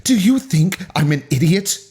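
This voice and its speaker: A man's voice: high voice, expressive, moderate pace, darkish tone, pitched above the performer's own voice. A spiteful teenage girl from a small-town storybook drama, acted out by a man. The voice is raised and volatile, seething through gritted teeth as it spits threats and insults. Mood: furious.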